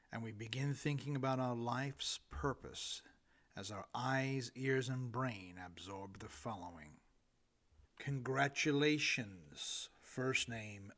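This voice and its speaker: Guy reading his own audiobook, really slow, mid pitch, pauses for drama. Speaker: author reading own book. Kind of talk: audiobook narration of humorous essay.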